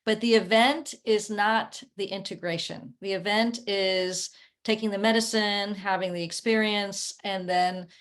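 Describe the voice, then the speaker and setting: A woman's voice: medium pitch, steady tone, unhurried, fairly bright tone. Reflective woman explaining in an online interview. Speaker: guest, coach working with clients. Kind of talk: conversational interview. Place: remote video call.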